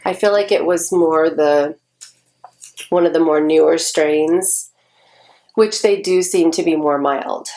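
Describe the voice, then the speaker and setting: A woman's voice: low-pitched, slow, pauses often, warm tone. A woman in her forties, stuffy and congested, chatting casually to the camera in a rambling way with lots of ums. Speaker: vlogger.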